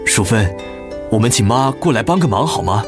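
A man's voice: fairly low pitch, animated, normal speed, over music. A man playing a busy, practical, concerned husband and father in a radio drama, with a Taiwanese Mandarin accent. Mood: practical, pressing. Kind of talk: dramatic radio play acting.

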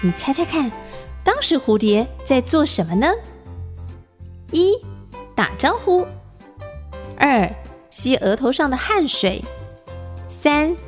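A woman hosting a children's nature story show, animated and putting questions to young listeners. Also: high-pitched, slow pace, pauses often, faint music behind.

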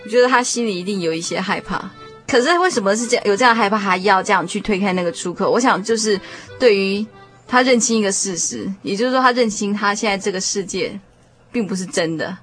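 A woman's voice: mid-range voice, fairly bright tone, husky. A woman with a Taiwanese Mandarin accent, hosting a conversational discussion programme. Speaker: radio show host.

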